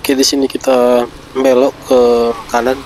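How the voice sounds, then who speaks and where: A man's voice: medium pitch, monotone, normal speed, darkish tone, rough recording. A man, chatting to viewers as he vlogs while travelling along flooded city streets. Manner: chatty, keeps saying "friends" to viewers. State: stuffy nose from a cold. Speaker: vlogger. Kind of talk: casual travel vlog narration. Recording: traffic noise outdoors.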